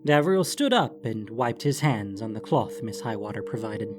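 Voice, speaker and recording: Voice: medium pitch, big pitch swings, moderate pace, over music. A narrator reading a scripted fantasy story for an audiobook.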